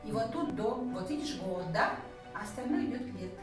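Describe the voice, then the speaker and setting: A woman's voice: mid-range voice, expressive, normal speed. A woman teaching a language lesson, patiently counting through examples and checking in with her student. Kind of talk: explaining a grammar point, counting through examples. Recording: very echoey room, voice from a distance, faint music.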